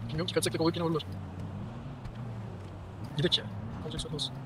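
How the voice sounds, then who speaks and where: A man talks casually on game voice chat. He is fairly high in pitch and extremely quick, and noise is plainly heard behind him. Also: steady tone.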